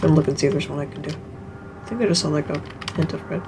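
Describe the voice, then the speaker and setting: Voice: medium pitch, rapid-fire, background noise. A streamer muttering through a game in casual commentary, with the odd curse. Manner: mutters to self, casual swearing.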